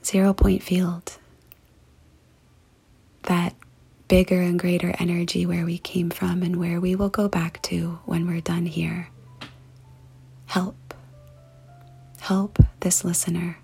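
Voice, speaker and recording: A woman leading a guided meditation and prayer in a soft, hushed, breathy and creaky voice, speaking deliberately with pauses. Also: on the low side, little pitch movement. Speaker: podcast host and meditation guide. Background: music.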